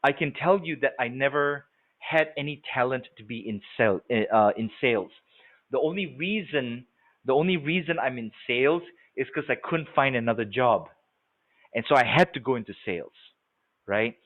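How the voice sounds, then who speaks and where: A man's voice: medium pitch, lively intonation, normal speed. Man from Singapore on a tinny line. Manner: conversational, frequent check-ins with listeners. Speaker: sales trainer. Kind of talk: live webinar training talk. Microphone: video call. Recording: tinny phone-like audio.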